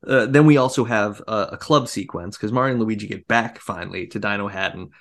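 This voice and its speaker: A podcast co-host giving a casual movie recap, telling it as an animated story with plenty of filler words. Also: medium pitch, brisk.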